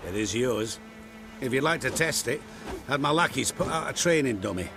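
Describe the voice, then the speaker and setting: Man acting a proud mid-pitched master swordsmith. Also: lively intonation, normal speed, music in the background, natural voice. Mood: proud, matter-of-fact. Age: middle-aged. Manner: precise, businesslike. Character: proud seasoned master swordsmith, plain-spoken tradesman. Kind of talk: video game cutscene acting.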